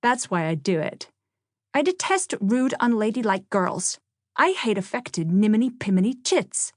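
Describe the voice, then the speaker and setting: A woman's voice: mid-range voice, very animated, measured pace, no echo, clean studio sound, voice pushed up. A woman voicing a tomboyish, bookish teenage girl with a blunt, emphatic, grumbling manner in an audiobook. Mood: defiant.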